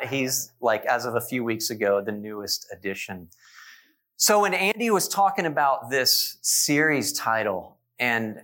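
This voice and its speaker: A man in his forties preaching in church, telling stories with asides to the congregation. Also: medium pitch. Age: 40s. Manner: warm storytelling with asides to the congregation. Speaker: pastor. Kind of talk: sermon.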